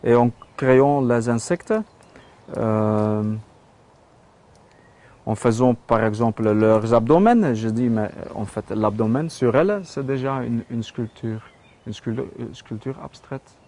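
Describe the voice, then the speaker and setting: A man with a Flemish accent, his first language Dutch, speaks French at an unhurried, halting pace, full of fillers and restarts. His voice sits at an ordinary middle pitch. He speaks casually, as if showing someone around his work outdoors in a rural spot. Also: a little mellow. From Belgium. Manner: hesitant, many fillers and restarts. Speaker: metal sculptor. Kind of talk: casual studio tour.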